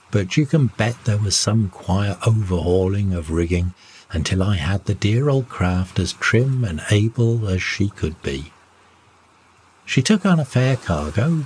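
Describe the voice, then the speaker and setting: A man reading a scripted first-person bedtime story in a measured, expressive storytelling manner. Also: low-pitched, a little hiss or hum. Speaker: storyteller, audiobook narrator.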